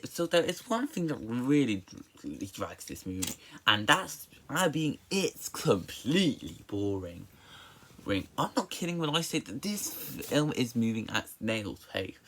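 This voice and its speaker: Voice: mid-range voice, breathy edge. A person giving a casual, opinionated movie review in an exasperated, emphatic way, often stammering and repeating words and syllables.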